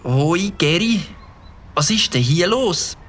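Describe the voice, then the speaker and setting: A man's voice: sits high, lively intonation, takes it slowly, a bit bright, slight background noise, exaggerated voice. A man voicing an eager, naive young beaver in a cartoon, with a Swiss German accent and wide-eyed, sing-song questions. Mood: worried.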